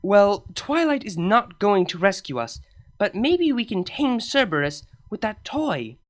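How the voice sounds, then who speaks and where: A woman's voice: mid-range voice, very animated, unhurried. A woman voicing a proud, determined elder female pony princess who speaks earnestly.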